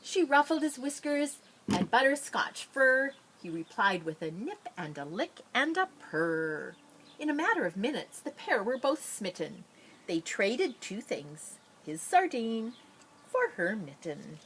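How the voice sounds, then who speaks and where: A woman reading a children's picture book aloud expressively, with drawn-out dramatic phrasing and playful asides. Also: a little high, very animated, fairly bright tone, a little hiss or hum, poor audio. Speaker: storybook reader.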